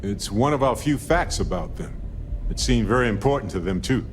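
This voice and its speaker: An actor playing an excitable, awkward space scientist in a video game, speaking in a halting, earnest, nerdy way. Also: male voice, medium pitch, slight background noise, lightly acted. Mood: thoughtful.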